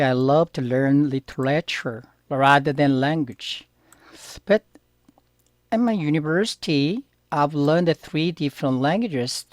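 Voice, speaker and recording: Middle-aged korean guy teaching beginners in english, korean accent, super slow careful delivery, dry close sound. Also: on the high side, no room sound. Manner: slow and careful, stressing key words, asking learners questions. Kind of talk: beginner language lesson.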